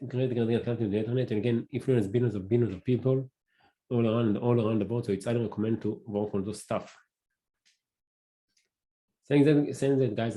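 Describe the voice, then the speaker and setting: A man with a Hebrew accent, a native Hebrew speaker, hosting a casual solo podcast and talking haltingly, often searching for words and restarting. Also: medium pitch, mellow, poor audio. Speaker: podcast host.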